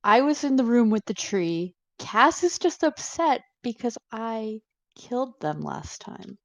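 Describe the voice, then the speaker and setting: Slow, creaky, higher-voiced woman. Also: sing-song, dry recording. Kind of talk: casual gaming banter.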